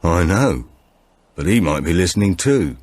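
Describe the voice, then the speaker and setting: A man's voice: deep voice, sing-song, normal speed, a little mellow, no room sound. A man voicing an elderly, reflective great-uncle in an audiobook thriller. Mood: reflective, grave.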